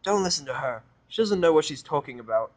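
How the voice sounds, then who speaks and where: A man's voice: fairly high pitch, animated, quick pace, pitched above the performer's own voice, natural voice. A man playing an irritable, sarcastic, foul-mouthed human in a story reading, blunt and scornful. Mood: dismissive.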